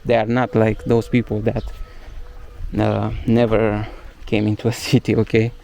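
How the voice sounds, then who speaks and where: A man's voice: on the low side, monotone, unhurried, creaky, mellow. A young man with a Romanian accent whose first language is Romanian, chatting casually and hesitantly as he walks through a village and searches for English words. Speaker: travel vlogger. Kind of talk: casual walking vlog narration. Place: outdoors in a village.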